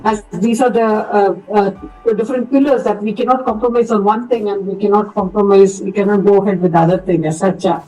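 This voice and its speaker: A woman moderates a formal session, heard slightly away from the microphone. Her voice sits at a middle pitch, and she talks off the cuff in hesitant, wordy, courteous phrases. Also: fairly even intonation, brisk, warm tone. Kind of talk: unscripted formal online conference remarks. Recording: distant microphone.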